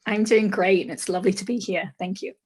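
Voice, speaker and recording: A woman's voice: medium pitch, a little fast, a little mellow, slightly rough. An older woman from England with a mid-Atlantic accent that still has some English in it. She tells her life story in a podcast interview over a video call, candid and reflective, with some hesitations. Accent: mid-Atlantic, slightly English. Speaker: guest. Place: small room with purple light. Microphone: video call, dark mic in front.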